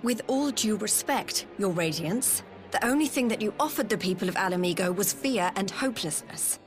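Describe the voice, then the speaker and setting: An actress playing a passionate, forthright resistance leader, speaking earnestly in a game cutscene. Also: female voice, medium pitch, lively intonation, normal speed, soft background music, close to an everyday voice. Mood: firm defiance. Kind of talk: formal scripted game cutscene dialogue.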